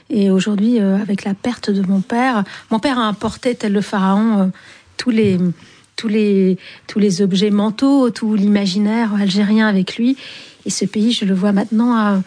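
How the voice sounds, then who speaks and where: Brief: woman in her fifties from Algeria, warm mellow tone, reflective interview guest who pauses and hesitates as she recalls. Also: medium pitch. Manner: thoughtful, pauses often with hesitant fillers. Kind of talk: reflective personal storytelling in an interview.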